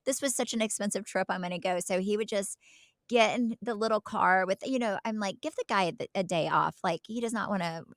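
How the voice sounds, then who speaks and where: A woman's voice: medium pitch, fairly quick, no room sound, clean studio sound. A woman co-hosting a podcast in casual, chatty style, emphatic and given to repeating words for stress. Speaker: podcast co-host. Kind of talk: casual chat.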